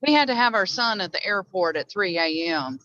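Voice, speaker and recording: A woman chatting on a group video call in a friendly, measured way. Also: mid-range voice, expressive, pushed and bright, highs cut off. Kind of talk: casual group video chat.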